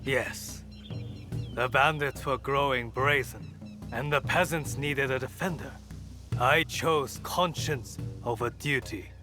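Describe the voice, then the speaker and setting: An actor playing a righteous, steadfast warrior monk mentor in a video game, speaking in a deliberate, formal, philosophical way. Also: male voice, mid-range voice, natural voice. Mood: resolute. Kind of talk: dramatic video game acting.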